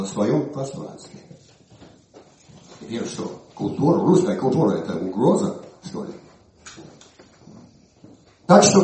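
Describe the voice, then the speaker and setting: An older man, an English speaker, presenting his book in Russian with a halting manner, recovering from a cold. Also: lowish voice, mellow. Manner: halting, many hesitations and restarts. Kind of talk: informal presentation speech. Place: museum hall. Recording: echoey hall, from far off.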